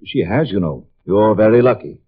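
A blustery football coach talks in a husky voice with big swings of pitch. The old radio drama recording sounds dull. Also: male voice, lively intonation, normal speed. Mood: brusque. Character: blustery, gruff middle-aged college football coach. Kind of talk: 1950s radio drama acting. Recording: muffled vintage radio recording.

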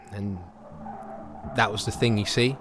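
Young drifter living rough, colloquial and embarrassed, audio play monologue, faint noise behind. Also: male voice, lowish voice, takes it slowly. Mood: rueful. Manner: colloquial, plain-spoken. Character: embarrassed young homeless man, a drifter with a limp. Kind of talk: audio drama, confessional first-person monologue.

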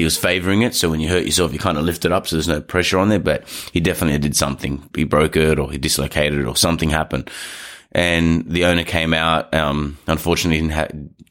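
A man's voice: low-pitched, a bit bright. A man with a nasal, stuffy voice from hay fever, talking casually about dog safety as a podcast host, rambling through a story with restarts. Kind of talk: casual advice talk. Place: studio.

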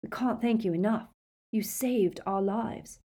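A woman's voice: medium pitch, measured pace, mellow, pitched above the performer's own voice, natural voice. Woman voicing an earnest teenage boy. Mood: grateful.

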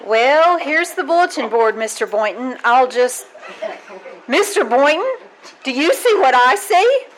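A woman playing a wry, quick-witted schoolteacher in an old-time radio comedy, with a dry, wisecracking manner. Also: high-pitched, big pitch swings, low-quality recording. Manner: dry, wisecracking, apologetic to her boss. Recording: live stage recording, background noise.

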